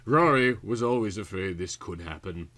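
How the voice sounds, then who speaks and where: A man's voice: on the low side, lively intonation, moderate pace, fairly bright tone. A man reading a story aloud in a theatrical, exaggerated, melodramatic comic style. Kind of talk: melodramatic comic audiobook narration.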